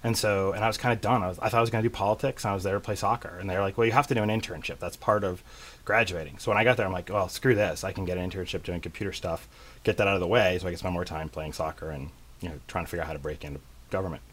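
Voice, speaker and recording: A man's voice: sits low, fairly even intonation, rapid-fire. A middle-aged man from central Massachusetts, a tech company CEO chatting as a guest, rambling and self-deprecating with lots of fillers. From central Massachusetts, USA. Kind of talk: casual interview chat.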